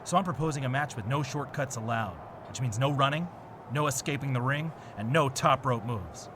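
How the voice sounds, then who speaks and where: A man's voice: medium pitch, normal speed, lightly acted. Bitter wrestler, played by a man, noisy surroundings. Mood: resentful. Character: bitter, self-righteous male wrestler and trainer. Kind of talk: acted backstage interview promo. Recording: clear ambient background noise.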